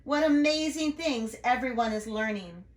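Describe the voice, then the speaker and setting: A woman's voice: a little high, lively intonation, moderate pace, reverberant, voice pushed up, close to an everyday voice. A woman voicing an earnest, enthusiastic male school principal in a storybook, emphatic and proudly repeating himself. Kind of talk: storybook read-aloud.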